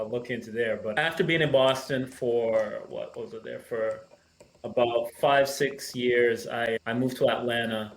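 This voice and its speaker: A man in his thirties with a subtle blend of Caribbean and American accent talks in an easygoing, reflective way. He pauses often with small fillers. The room sounds echoey, with a faint hum behind him. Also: medium pitch. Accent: mild Caribbean-American mix. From Barbados. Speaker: screenwriter guest. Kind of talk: relaxed interview conversation.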